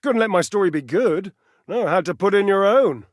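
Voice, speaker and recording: An actor playing a vain, athletic talking appliance in a dating game, pompous and petulant, with flamboyant, condescending, wordy delivery. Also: male voice, high-pitched, lively intonation, moderate pace, dry recording, studio quality. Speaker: video game character actor. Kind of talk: theatrical dating-game character acting.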